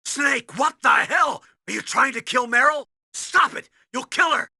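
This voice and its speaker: A man playing a gruff, urgent military commanding officer briefing his agent in a video game, with a commanding, instructive delivery. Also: very high-pitched, expressive, measured pace, sharp tone, no echo, studio quality, heavily acted. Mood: alarmed, urgent.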